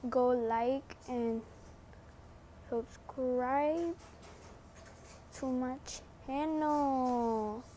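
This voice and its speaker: A young woman giving casual, slangy, sweary and teasing commentary as a gaming vlogger, with unhurried delivery. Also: high voice, dark tone, a little hiss or hum.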